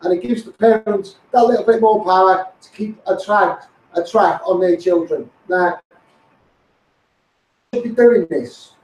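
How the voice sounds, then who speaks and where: High-pitched Scouse man, echoey and distant. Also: a little slow, darkish tone, highs cut off. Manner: insistent, repetitive, directly addressing politicians. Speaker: campaigning video host. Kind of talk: impassioned campaign monologue to live viewers.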